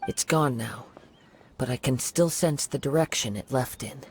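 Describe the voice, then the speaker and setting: A man voicing a calm young wandering samurai in a video game, speaking in a measured, thoughtful way. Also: medium pitch, noisy background, close to an everyday voice. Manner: measured, thoughtful and soft-spoken. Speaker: investigating hero.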